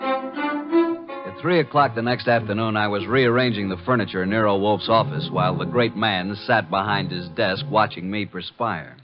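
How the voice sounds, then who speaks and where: A male actor voices a streetwise detective's assistant in a vintage radio mystery. He is wry and cocky, with very animated intonation. The sound is dull, with music behind it. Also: fairly low pitch, moderate pace, close to an everyday voice. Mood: wry narrating. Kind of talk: old-time radio drama acting.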